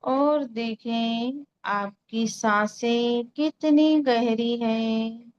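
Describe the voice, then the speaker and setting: Very slow-speaking woman guiding meditation. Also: a little high, clear, resonant tone, muffled recording. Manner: calm, soothing, unhurried. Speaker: meditation guide and Reiki healer. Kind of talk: guided meditation, slow instructions with long pauses.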